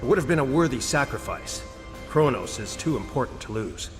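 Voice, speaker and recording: An actor playing a brave, selfless starship captain in scripted video game dialogue. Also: male voice, medium pitch, lively intonation, brisk, natural voice. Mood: sober, resolute. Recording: music behind.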